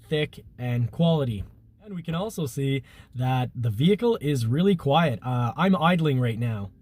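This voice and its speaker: A man's voice: a little high, a little mellow. Congested man reviewing a car. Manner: explanatory, restarts with "you know". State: congested, stuffy nose. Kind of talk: casual car review talk. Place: inside a car, partly driving.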